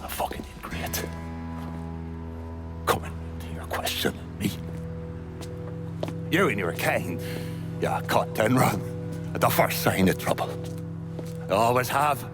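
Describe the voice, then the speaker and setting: A deep-voiced, bitter Irish mobster. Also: male voice, lively intonation, slow, pauses often. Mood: furious. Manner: sneering, profane. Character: bitter, foul-mouthed Irish mobster with a grudge. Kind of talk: video game cutscene acting. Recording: music behind the voice. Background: music.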